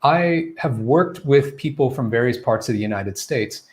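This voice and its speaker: A man's voice: mid-range voice, animated, normal speed. A man who coaches English pronunciation, giving a casual live-streamed Q&A lesson in a chatty, loose way and often correcting himself. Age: adult, former teacher.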